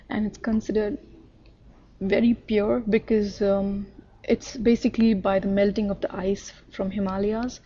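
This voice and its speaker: Indian woman interviewee, talkative and explanatory, monotone delivery on a muffled recording. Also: medium pitch. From northern India. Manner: chatty, explains at length with fillers. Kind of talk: casual conversational answers.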